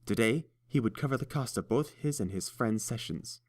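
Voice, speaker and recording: A man narrating a story in a steady, even storytelling manner. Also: medium pitch, moderate pace. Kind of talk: fan audiobook narration.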